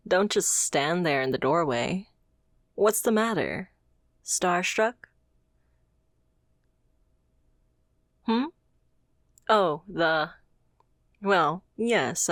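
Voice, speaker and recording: A woman's voice: lowish voice, no room sound, studio quality. A woman playing a confident, haughty supervillain boss in a scripted ASMR roleplay, speaking with teasing, condescending poise. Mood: haughty, brisk. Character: confident female supervillain boss, haughty yet playfully amused.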